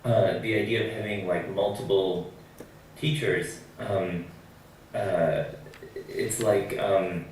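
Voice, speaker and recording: A man with a fairly low voice asks tentative, carefully worded questions as a student. His phrasing is hesitant and roundabout, and he sounds far from the mic in a very echoey room. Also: steady tone, slow, a little mellow. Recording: echoey, distant, faintly noisy microphone.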